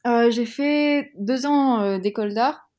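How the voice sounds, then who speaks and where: A woman's voice: on the high side, slow, mellow. A young woman from Madagascar, interviewed in a casual talk, speaking frankly and heatedly with many fillers and restarts. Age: young adult. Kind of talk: casual conversation.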